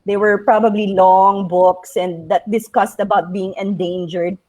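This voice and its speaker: A woman's voice: mid-range voice, fairly even intonation, unhurried, a little mellow, rough recording. A woman in her thirties with a Filipino accent, an author talking casually about her book, with frequent fillers and restarts. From Philippines. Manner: chatty, many fillers and restarts. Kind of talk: casual interview chat.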